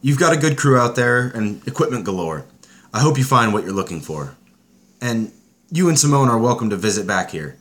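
An actor playing a wary, devout middle-aged American scientist and ex-soldier, steady and earnest. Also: male voice, mid-range voice, a little fast, lightly acted. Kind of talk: audio drama acting.

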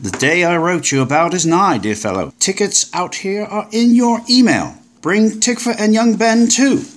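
An actor with a British accent playing a breezy, upbeat forty-year-old paleontologist full of old-fashioned slang. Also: male voice, sits high, animated, moderate pace. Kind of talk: audio drama acting.

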